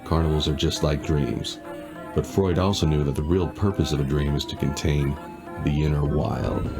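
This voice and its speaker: A brooding grown man, haunted by his past. His voice is exceptionally low, narrating in a measured, dramatic first-person style. Loud music runs underneath. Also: fairly even intonation. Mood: brooding, wistful.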